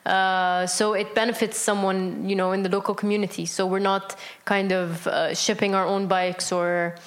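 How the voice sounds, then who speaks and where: Brief: Palestinian woman founder, earnest and rambling, lots of fillers, mid-pitched conversational voice. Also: flat delivery, normal speed. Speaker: business founder, interview guest. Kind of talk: conversational interview answers.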